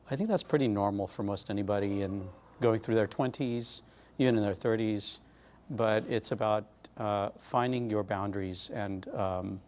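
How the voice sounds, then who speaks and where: Middle-aged guy from glendale, lowish voice, thoughtful, lots of ums and pauses. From Glendale, California. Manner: reflective, measured, with pauses and fillers. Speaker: interviewee. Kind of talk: oral history interview.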